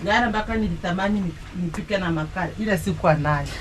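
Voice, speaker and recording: Brisk Kenyan woman cook. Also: on the low side, background noise. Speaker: shop owner and cook. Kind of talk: casual chat. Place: outdoor village kitchen.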